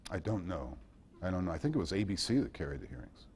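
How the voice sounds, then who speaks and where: A man with a fairly low American voice gives an informal talk. He answers questions thoughtfully and anecdotally, hesitating and pausing as he searches for the right phrase. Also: big pitch swings, a little mellow, slight background noise. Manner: hesitant, thoughtful, anecdotal delivery with long pauses. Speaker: guest speaker and author at a club meeting. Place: indoor club meeting.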